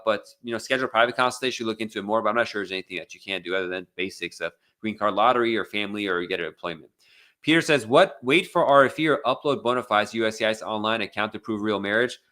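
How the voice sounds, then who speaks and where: A man's voice: on the low side, bright, forceful tone. An American man hosting a casual live Q&A as an immigration attorney, reading out viewer questions and answering them bluntly with many fillers and restarts. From United States.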